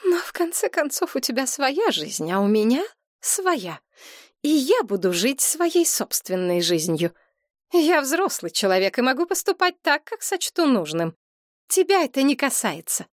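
A woman voicing an offended, mocking middle-aged mother who speaks in a sharp, raised voice. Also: high voice, big pitch swings, measured pace, no room sound, clean studio sound, voice pushed up. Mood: indignant.

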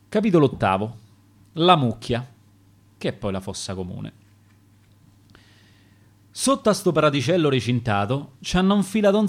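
Male poet, Roman accent, theatrical sonnet reader, lively intonation. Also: a little high. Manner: rhythmic, theatrical recitation. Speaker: poet reading his own verse. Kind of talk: recited dialect sonnets, radio theatre.

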